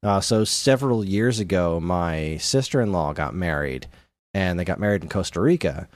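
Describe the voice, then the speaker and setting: A man's voice: low voice, expressive, moderate pace, dry recording, clean studio sound. A man co-hosting a podcast, chatting casually and telling stories in a conversational way. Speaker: podcast co-host. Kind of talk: casual chat about video games.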